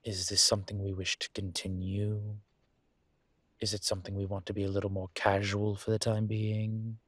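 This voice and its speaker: A man playing an ancient incubus teacher in an intimate audio roleplay, courteous and earnest, with measured, hesitant, formal speech and a husky voice. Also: lowish voice, monotone, a bit bright, dry recording. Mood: earnest, careful. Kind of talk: intimate one-sided audio roleplay acting.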